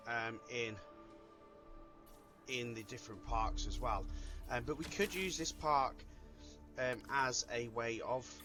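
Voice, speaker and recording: A man giving casual playthrough commentary as a gaming video host, hesitantly thinking aloud. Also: medium pitch. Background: game music.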